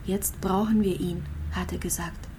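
A frail, gravely ill young mother voiced by an actress in an intimate radio play. She confides haltingly, circling back over her phrases with fear and resignation. Her voice sits on the low side of middle for a woman, with faint ambient noise behind it. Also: steady tone, warm tone, natural voice, rough recording. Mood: quiet, sad. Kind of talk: intimate radio drama monologue and dialogue.

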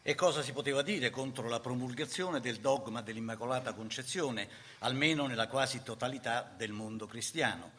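A man's voice: medium pitch, sharp tone. A man narrating scripted history in a formal, rhetorical manner.

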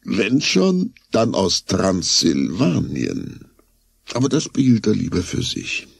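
Deep, gravelly male storyteller. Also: huge pitch swings, takes it slowly, dry recording, studio quality. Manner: dramatic, suspenseful delivery. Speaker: narrator. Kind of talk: scripted storytelling for a children's radio play.

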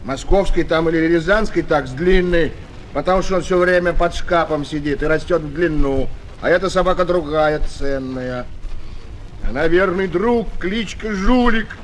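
An actor playing a whining, wheedling street swindler in a 1970s Soviet children's radio play, theatrical and slangy, swinging between bluster and wailing. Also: male voice, on the high side, normal speed, lightly acted. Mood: boastful, snappy. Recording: faint noise, old flexi-disc record.

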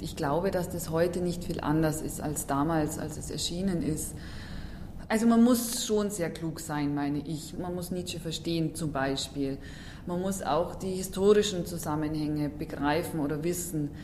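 Eine Frau, die in einem Interview frei und nachdenklich abwägend spricht. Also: female voice, low voice, normal speed, darkish tone. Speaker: bookseller, interviewee. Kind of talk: spontaneous interview talk. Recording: roomy, background ambient noise.

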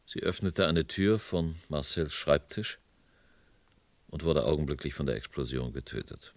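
An actor playing a remorseful police inspector, narrating his case gravely and deliberately in a 1970s radio crime drama. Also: very deep voice, lively intonation, no echo.

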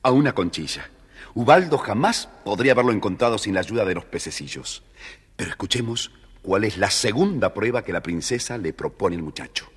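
A man's voice: on the low side, animated, a little fast, husky, in a large hall. A man acting as a chatty, theatrical children's storybook narrator with an Argentine accent. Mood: storytelling.

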